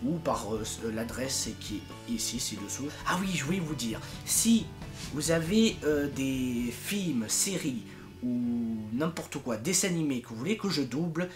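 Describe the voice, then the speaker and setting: A man with a mid-range voice talks informally to online followers. His delivery is unscripted, rambling and halting. Music plays clearly behind him. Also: mid-range voice, expressive. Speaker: amateur fandub project leader and YouTuber. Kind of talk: casual, unscripted video update to followers.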